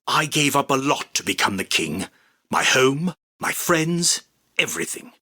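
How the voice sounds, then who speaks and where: An imperious champion duelist from a video game, who speaks as a self-crowned king. The voice is husky and forceful, swinging hugely in pitch. Every line comes out slow, grandiose and commanding. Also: big pitch swings, heavily acted. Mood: solemn, proud. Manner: pompous, theatrical, commanding. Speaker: voice actor. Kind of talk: video game character battle lines.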